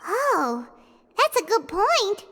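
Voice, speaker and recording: Super high squeaky little fairy girl from a game, blunt, swoops all over, music behind. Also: very animated, slow pace, fairly bright tone, full character voice. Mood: puzzled. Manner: refers to self in third person, exclaiming. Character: small excitable female fairy-like companion, childlike, blunt. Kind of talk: video game character acting. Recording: background music under the voice.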